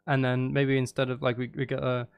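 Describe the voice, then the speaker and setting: A man's voice: medium pitch, little pitch movement, fairly quick, smooth. A man, talking casually on a livestream, rambling and thinking aloud as he goes. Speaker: live streamer. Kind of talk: casual livestream chat while making music.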